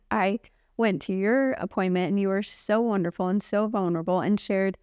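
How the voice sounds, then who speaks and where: A woman in her forties co-hosting a casual podcast chat, chatty and quick to agree. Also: medium pitch, darkish tone, clean tone, no echo, studio quality. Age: 40s. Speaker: podcast co-host.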